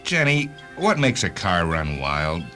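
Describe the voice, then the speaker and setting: A driven man out for revenge, low-pitched and sharp-edged. He talks intensely and emphatically, catching on his words, in a radio play with light music beneath. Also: very animated, a little slow, heavily acted. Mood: bitter, brooding. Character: driven, vengeful male hunter of rogue cars. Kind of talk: radio drama acting.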